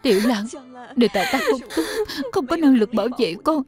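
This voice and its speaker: A woman voicing a sorrowful, wronged gentlewoman in a historical cartoon, plaintive and tearful. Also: a little high, animated, brisk, natural voice. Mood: despairing. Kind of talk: animated historical cartoon dubbing.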